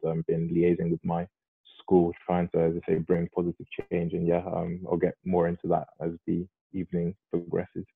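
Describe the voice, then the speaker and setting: A man in his early twenties with a British accent, introducing himself as a panel guest in a polite, halting way. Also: deep voice, vocal fry, no room sound. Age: early 20s. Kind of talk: conversational self-introduction. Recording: tinny, phone-like online call audio.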